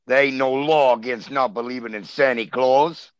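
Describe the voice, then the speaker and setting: A man's voice: mid-range voice, steady tone, normal speed, smooth, dry recording, muffled recording, close to an everyday voice. A man playing a surly, stubborn suspect, defiant and slangy, in a comic radio crime drama. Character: surly, stubborn male wise-guy suspect.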